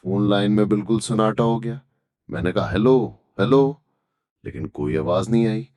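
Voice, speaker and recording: A man's voice: fairly low pitch, a little fast, a little mellow, no echo. A man reading a scripted horror story as its narrator. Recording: background music. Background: music.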